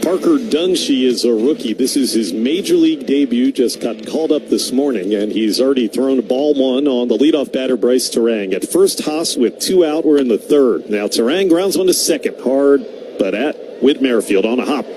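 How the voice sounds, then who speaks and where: A man's voice: medium pitch, expressive, moderate pace, music in the background. A man calling a baseball game as live radio play-by-play.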